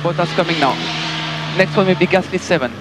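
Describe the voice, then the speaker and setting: A high-voiced man speaks as a race engineer over team radio. He gives short, clipped instructions in a matter-of-fact, polite way, with very loud engine noise across the line. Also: quick pace. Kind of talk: terse radio instructions to a racing driver.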